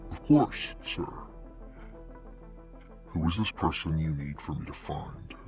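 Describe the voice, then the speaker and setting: A man acts out a hired killer with an extremely low voice. He is cold yet deferential, choosing few and measured words, as in an audio drama. Faint music plays underneath. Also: darkish tone, exaggerated voice. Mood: controlled, businesslike. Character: cold, polite, deep-voiced hired hitman taking orders.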